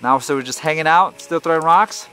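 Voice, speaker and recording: A man's voice: fairly high pitch, brisk, pushed and bright, clear, resonant tone, soft background music. A man in his thirties, a father vlogging a family camping trip outdoors and chatting with his small kids, with the camera held at arm's length. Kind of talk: casual vlog narration to camera. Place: outdoor campsite in the woods.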